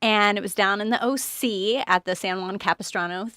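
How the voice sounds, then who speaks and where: A woman co-hosting a show, chatting casually and upbeat, quick to laugh. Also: medium pitch, steady tone, pushed and bright, no echo, clean studio sound. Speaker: podcast co-host. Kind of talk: casual chat.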